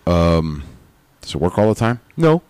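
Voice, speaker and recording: A man co-hosting a casual podcast, talking bluntly and excitably, swearing freely. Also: deep voice, unhurried, clear, resonant tone, no echo. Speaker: podcast co-host.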